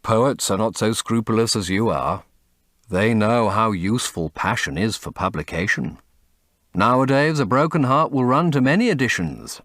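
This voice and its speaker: A man plays a languid aristocrat in an audiobook novel, cynical and amused. He delivers urbane lines with lively intonation, in a dry, close recording. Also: fairly low pitch, moderate pace, no echo. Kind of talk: dramatic audiobook character acting.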